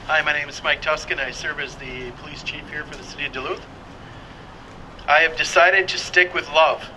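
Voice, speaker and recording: A man's voice: a little high, fairly even intonation, moderate pace, pushed and bright, slight background noise, low-quality recording. A man, a police chief, reading a quotation aloud.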